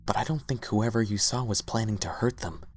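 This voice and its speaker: A man playing a gentle, tired and patient cartoon pony husband, speaking softly and reassuringly. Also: fairly low pitch, fairly even intonation, brisk, lightly acted. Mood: patient skepticism.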